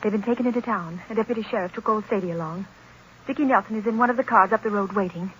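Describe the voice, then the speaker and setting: A woman's voice: medium pitch, fast, fairly bright tone, lightly acted. A woman playing a tender-hearted, devoted lady companion with a breathless, earnest manner in 1930s radio style. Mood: gentle. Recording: hissy, muffled 1930s radio recording.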